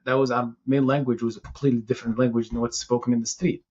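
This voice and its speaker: A Moroccan-born man whose first language is Tamazight, answering interview questions in a thoughtful, halting way, often restarting his phrases. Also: medium pitch, level tone, a little mellow, slightly rough, highs cut off. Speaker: city information security chief, guest.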